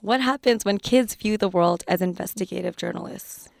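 A woman with a gravelly, mid-pitched voice hosts the show, reading scripted narration that poses open questions. The recording is close and dry. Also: normal speed, no echo. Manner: reflective, posing questions. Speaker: podcast host.

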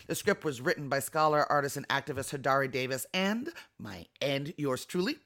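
A woman's voice: rumbling low, lively intonation, a little fast, a bit bright. A woman hosting a podcast, reading a scripted outro in an upbeat, announcing manner. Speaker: podcast host. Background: faint music.

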